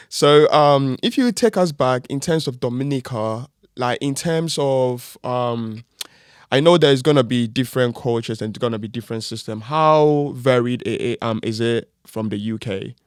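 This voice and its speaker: A man's voice: medium pitch, a little slow, clear, resonant tone, dry recording, studio quality. A man hosting a podcast interview, enthusiastic and affirming, praising his guest and asking friendly questions. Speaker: podcast host.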